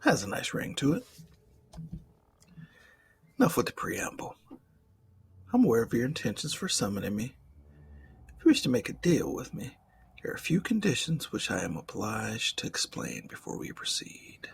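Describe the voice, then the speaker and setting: Give this man's performance of a demon a suave, mocking menace in an intimate ASMR roleplay. The voice is lively in pitch with plenty of fry, eloquent and formal, often slipping into a breathy whisper. A faint noise sits behind it. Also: normal speed, pauses often. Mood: teasing, businesslike.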